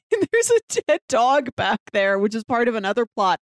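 A woman's voice: a little high, sing-song, normal speed, dry recording, clean studio sound. A woman of about thirty co-hosting a podcast, chatting casually about television. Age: 30s. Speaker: podcast co-host. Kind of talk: casual TV discussion chat.

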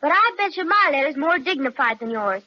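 A woman's voice: sits high, sing-song, brisk. A woman playing an eager, bossy little girl in an old-time radio children's serial, with chirpy, emphatic phrasing. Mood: bossy, earnest. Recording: dull old radio recording.

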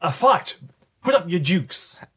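A man's voice: sits high, very animated, slow pace, husky, full character voice. A man voicing a stubborn, pushy man with a pompous, earnest way of talking. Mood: pompous, challenging. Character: stubborn, pushy man, Ernest, spouting muddled challenges.

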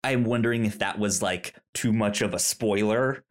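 A woman's voice: extremely low pitch, moderate pace. A woman co-hosting a podcast in casual chat, often stammering and repeating words as she talks animatedly. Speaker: podcast co-host.

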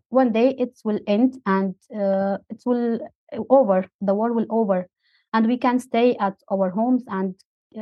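A woman's voice: mid-range voice, no echo. Syrian woman, 30s, non-native English, hesitant and filler-heavy, dry and slightly muffled interview guest. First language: Arabic. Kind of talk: interview storytelling.

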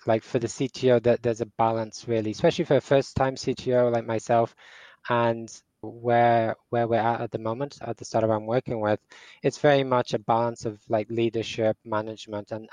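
A man's voice: medium pitch, little pitch movement, normal speed, a little mellow, no echo, muffled recording. A man in his forties, raised in Portugal, answering podcast questions as a startup tech lead, hesitant with many restarts. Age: 40s. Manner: hesitant, with frequent restarts and repeated words. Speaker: startup CTO guest. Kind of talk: podcast interview.

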